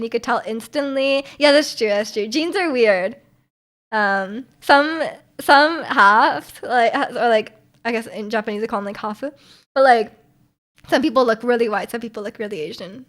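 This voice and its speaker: Woman from Canada, livestream chat, slightly high-pitched, rambling with lots of fillers, laughs easily. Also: moderate pace, fairly bright tone. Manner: chatty, frequent "like" and "um" fillers; laughs often while talking. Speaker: streamer. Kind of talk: casual chat reading viewer messages.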